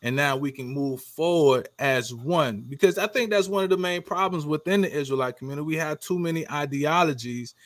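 A man's voice: a little high, a little fast, few pauses, no echo. A middle-aged man hosting an interview over a video call, loose and casual with many fillers. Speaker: interview host. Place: dim room with dark curtain.

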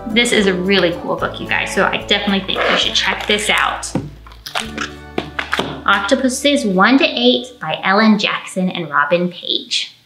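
Children's storytime host: young American woman, very bright tone, animated delivery, roomy echoing sound. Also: mid-range voice, moderate pace, soft background music. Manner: animated, addressing small children directly. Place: indoor library storytime room.

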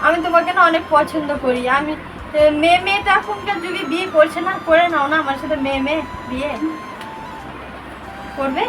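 Woman, very high voice, coaxing and pleading, flirty phone-chat style, music behind. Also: fairly quick. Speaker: vlogger making a prank call. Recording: distant mic with music behind.

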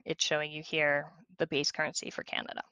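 A woman demonstrating software, walking through each step in a conversational tutorial style. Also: sits low, completely flat delivery, brisk, clear, resonant tone, dry recording.

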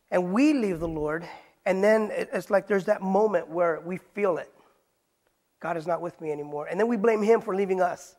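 Earnest high-voiced man preaching. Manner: earnest, pleading, shifts between loud emphasis and soft quoted lines. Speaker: pastor. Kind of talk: sermon. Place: church stage, indoors.

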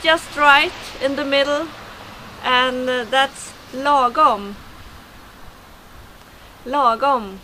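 A middle-aged woman with a Swedish accent teaches words to a camera outdoors. Her voice is bright and fairly high, and she goes very slowly, with halting pauses and careful pronunciation. A little outdoor noise sits behind her. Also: steady tone, poor audio. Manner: slow, halting delivery with careful word-by-word pronunciation. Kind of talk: informal language lesson to camera. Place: outdoors by a wooden fence in a garden.

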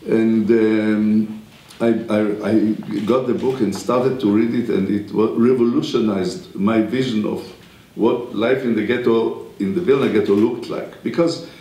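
An older man whose first language is Hebrew lectures from a podium in a wood-panelled room. His low, mellow voice halts often, full of pauses and restarts. The room adds an echo. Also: steady tone. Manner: halting, many pauses and restarts. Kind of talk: university lecture. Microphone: podium mic.

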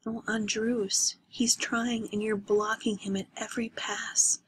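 Hushed gentle mom, kinda wistful and soft, woman reading her lines. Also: a little high, lively intonation, moderate pace, a little hiss or hum, natural voice, low-quality recording. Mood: tender. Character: gentle, wistful middle-aged mother.

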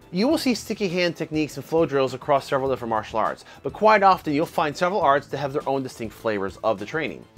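A man's voice: a little high, fairly bright tone. A man in his thirties, a martial arts instructor hosting his channel, explaining a training drill straight to camera in a home office on a lapel mic. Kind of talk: scripted educational video. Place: home office with computer monitors. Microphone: small lapel mic.

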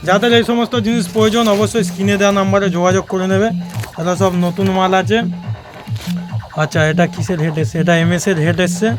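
A man's voice: high-pitched, fairly even intonation, fast, music in the background. A man with a West Bengal Bengali accent giving casual, repetitive sales patter to viewers in an unboxing vlog. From West Bengal, India. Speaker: audio equipment seller and channel host.

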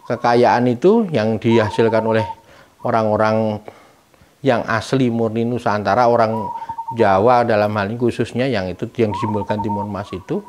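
A man's voice: on the low side, normal speed. A middle-aged man informally explaining a folk tale's meaning in a didactic way, posing questions and answering them himself. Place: room with bare walls, indoors, seated. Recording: clear background noise, birds cooing.

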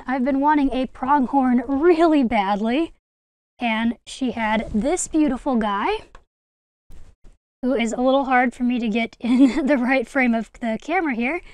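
A young woman presents her collection in a casual, gushing vlog style. Her voice is high and clean, recorded close and dry with very little room sound. She sounds keen and enthusiastic about what she shows. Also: clear, resonant tone, dry recording. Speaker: video host, hobby collector. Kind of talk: casual haul vlog narration.

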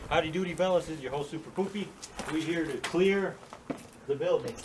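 A man's voice: fairly high pitch, fairly quick, background noise. A young man hosting a casual vlog outdoors, talking in a rambling, joking way with lots of fillers, picked up by a handheld camera mic. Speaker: vlog host. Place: outdoors at an abandoned base. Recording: music loud behind the voice.

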